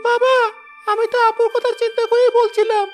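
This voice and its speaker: A caring little cartoon boy with an extremely high, fairly flat-pitched voice. He is earnest and pleading, over faint music. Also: a little fast. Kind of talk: cartoon dub acting.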